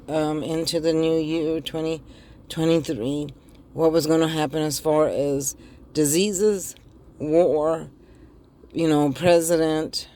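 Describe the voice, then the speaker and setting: A middle-aged woman with a raspy voice after the flu, giving a casual tarot reading to camera and talking directly to viewers, with hesitant ums, recorded inside a car on a phone held in one hand. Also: sits low, darkish tone, slight background noise. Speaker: tarot reader.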